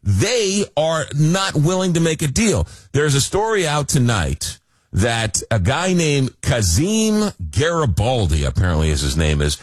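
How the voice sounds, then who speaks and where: A man's voice: a little high, big pitch swings, measured pace, no room sound. Emphatic male talk radio host. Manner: interjecting, emphatic.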